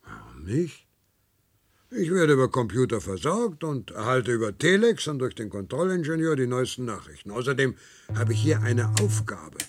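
Radio play role: very old worker, creaky husky voice, proud of duty, set in his ways, trips over words when pressed. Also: medium pitch, big pitch swings, moderate pace. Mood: calm, proud. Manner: stammers and repeats words when agitated.

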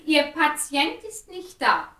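A woman's voice: high-pitched, sing-song, takes it slowly, sharp tone, exaggerated voice. A woman acting in a German radio play as a courteous, formal and efficient secretary with an obliging manner. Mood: obliging, concerned.

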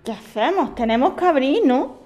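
Woman playing an anxious high-voiced daughter, noisy recording. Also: expressive, moderate pace, lo-fi sound. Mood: anxious, pleading. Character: anxious daughter pleading with her mother. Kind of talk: amateur audio drama. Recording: loud noise and clicks.

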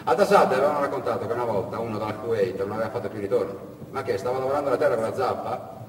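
A man telling a story theatrically and expressively, swinging between hushed and loud delivery with dramatic pauses. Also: medium pitch. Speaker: storyteller, theatre monologue performer. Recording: echoey hall, far from mic, noisy.

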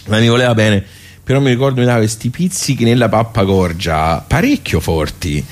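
A man's voice: on the low side, brisk. A man with a Tuscan accent performing a colloquial, rambling storytelling monologue, full of asides to his listeners.

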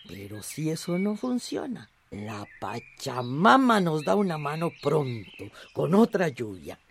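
A woman's voice: low voice, very animated, unhurried, vocal fry, slight background noise, full character voice. An actress playing a caring, teasing Central American countrywoman in a radio drama, affectionate and coaxing. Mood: tender coaxing. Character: motherly, chatty village countrywoman, caring and teasing.

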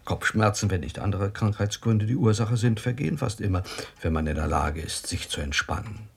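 An actor playing a skeptical, impatient doctor in a German radio drama, brisk and matter-of-fact. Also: male voice, on the low side, creaky, slightly rough. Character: skeptical, impatient male doctor investigating a mystery.

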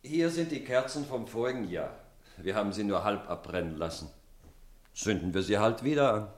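Actor as a low-voiced father in roomy sound. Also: animated, moderate pace, lightly acted. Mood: subdued. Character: warm, downhearted father trying to comfort his wife. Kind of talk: German radio play acting.

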